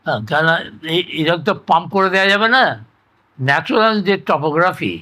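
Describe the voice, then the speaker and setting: Older Bengali man, bright higher-pitched voice. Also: lively intonation. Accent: West Bengal Bengali. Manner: halting, repetitive explaining with frequent tag words. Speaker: interviewee recounting his experience. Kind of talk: conversational oral-history reminiscence.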